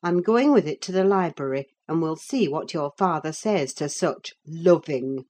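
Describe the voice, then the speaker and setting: A woman's voice: sits low, expressive, normal speed, a little mellow. A woman voicing a stern servant scolding her young mistress in an audiobook, grave and sarcastic. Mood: scornful. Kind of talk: audiobook character acting.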